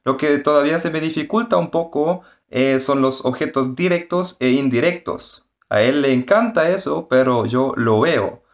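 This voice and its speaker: A man's voice: a little high, normal speed, few pauses. A man and university student speaking Spanish in a careful, articulate way. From Germany. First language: German.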